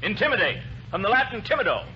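A man's voice: high-pitched, very animated, quick pace. An actor playing a pompous, blustering, indignant neighbour man in a 1940s radio comedy. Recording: muffled, noisy 1940s radio recording.

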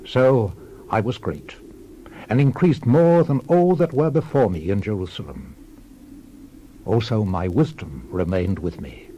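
A man playing a world-weary, reflective old king in a solemn, measured dramatized scripture reading, deliberate and letting his phrases fall away. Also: lowish voice, lively intonation, warm tone, lo-fi sound, a little hiss or hum. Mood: stately, reflective. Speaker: actor in a dramatized Bible reading.

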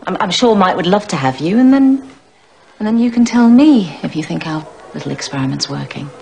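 A middle-aged woman acting a troubled married teacher in a television drama, speaking with an English accent, stammering, breathy and hesitant. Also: fairly low pitch, lively intonation, a little fast, noisy background. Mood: flustered. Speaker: teacher in a soap opera.

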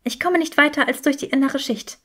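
A woman's voice: high-pitched, fairly quick, pushed and bright, pitched above the performer's own voice. A woman voicing an anxious, clever young witch in an audiobook, urgent and rambling. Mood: strained.

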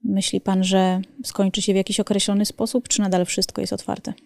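Woman podcast host, gravelly mellow voice, dry close studio mic. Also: mid-range voice, little pitch movement, a little fast, dry recording. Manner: probing, conversational questions. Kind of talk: interviewing. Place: small studio. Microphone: studio microphone on arm.

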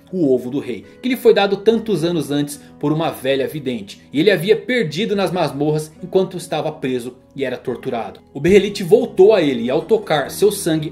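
A man's voice: on the high side, darkish tone. A man with a Brazilian accent telling a story in a solemn, theatrical scripted narration. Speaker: narrator of anime and manga explainer videos. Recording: voice-over with music underneath. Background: music.

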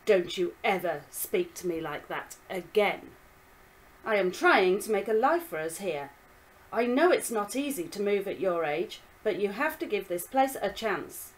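A woman voicing a tired father who speaks gently and then scolds firmly in a storybook reading. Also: a little high, very animated, unhurried, voice pushed up, rough recording. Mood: stern. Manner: firm, scolding. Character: tired father, gentle but quick to anger.

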